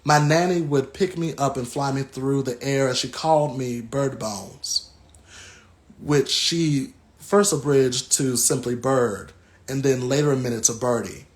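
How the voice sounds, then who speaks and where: An American man speaks as a guest reader at a book talk. His delivery is thoughtful and halting, broken by frequent pauses. His pitch sits in a middle range for a man, and the style is reflective. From Southern United States.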